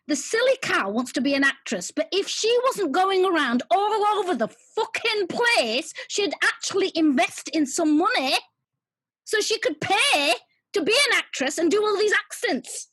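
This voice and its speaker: A woman's voice: very high voice, very animated, normal speed, fairly bright tone, no echo, heavily acted, low-quality recording. A woman playing a coarse, furious female alter who swears and rants in a solo stage monologue. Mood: furious ranting. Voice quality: swearing, brash. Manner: ranting, mocking.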